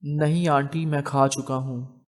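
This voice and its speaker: A man reading as a grave, slow-spoken young hero. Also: on the high side, little pitch movement, natural voice. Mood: grave, reluctant. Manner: grave, reluctant. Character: serious, troubled young man, a reserved novel hero. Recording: long hall reverb on voice.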